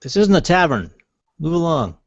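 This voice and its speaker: A man's voice: fairly high pitch, very animated, normal speed, no room sound, voice pushed up. Guy doing a suspicious wall guard for a tabletop game, curt, raised voice, dull lo-fi audio. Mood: curt, dismissive.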